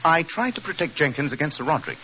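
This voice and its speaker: A man's voice: fairly high pitch, sing-song, fast. A man playing an incisive, coolly superior British detective in a precise, clipped 1940s radio drama style. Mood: coolly deductive. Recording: dull, noisy 1940s radio recording.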